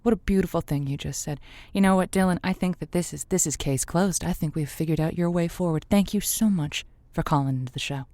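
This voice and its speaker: A woman's voice: lowish voice, fairly quick, few pauses, dark tone, no echo, close to an everyday voice. Woman doing radio advice host lady for audio drama, therapisty, blunt but reassuring, dry sound. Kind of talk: semi-improvised audio drama, call-in show.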